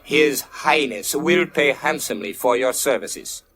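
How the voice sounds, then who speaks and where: Croaky, courtly android. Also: male voice, mid-range voice, lively intonation, fairly bright tone. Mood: smooth, explanatory. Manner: formal, unhurried, courteous, flowery. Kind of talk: science fiction radio play acting. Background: music bed.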